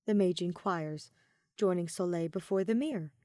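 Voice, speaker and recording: A woman reading scripted fiction as a narrator, with expressive, measured storytelling. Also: on the low side, no echo, studio quality. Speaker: podfic narrator.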